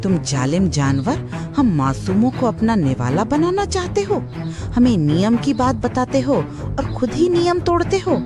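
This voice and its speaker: A woman acts out a bold little deer in a kids' cartoon, highish and very animated. She declaims with accusing indignation, music clearly playing underneath. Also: a little slow. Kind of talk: animated moral-story cartoon voice acting.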